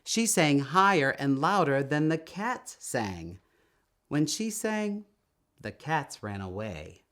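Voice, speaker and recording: A man reading a children's story aloud in an expressive, emphatic storytelling manner, drawing out words. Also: a little high, slow pace. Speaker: storytime reader.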